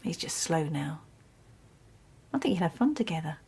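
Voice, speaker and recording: An actress playing a reassuring mother in a radio drama, speaking in a hushed, husky, coaxing way. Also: sits low, big pitch swings, moderate pace, full character voice. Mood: soothing, hushed. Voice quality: breathy, husky.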